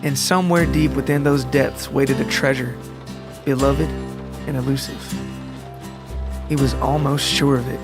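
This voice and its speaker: A man's voice: medium pitch, fairly even intonation, measured pace, long pauses. A man hosting and narrating his own scripted storytelling podcast. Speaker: podcast writer, narrator and host. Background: guitar music and rain.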